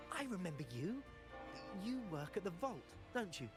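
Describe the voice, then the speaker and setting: A man's voice: high-pitched, measured pace, music in the background. A man voice-acting a chatty, fussy doorman in a video game, officious yet sociable. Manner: animated, officious but sociable. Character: chatty, fussy male doorman guarding a private event.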